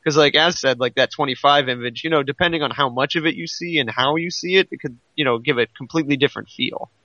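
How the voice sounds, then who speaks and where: Male co-host, bright and sharp, musing casually, close dry but lo-fi sound. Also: medium pitch, fast, no echo. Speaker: podcast co-host. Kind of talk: casual chat.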